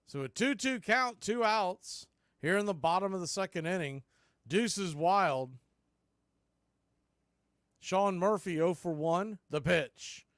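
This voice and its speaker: A man calling baseball play-by-play as a radio announcer, in clipped, folksy phrases that range from quiet to excited. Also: high voice, slow, long pauses, dry recording, clean studio sound. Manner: swings from hushed calls to excited shouts. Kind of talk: live radio play-by-play commentary.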